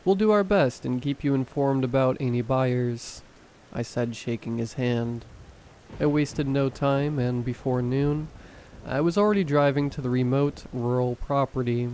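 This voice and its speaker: A man narrating horror stories in a calm, measured storytelling voice. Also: medium pitch, slight background noise, rough recording. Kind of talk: scripted narration.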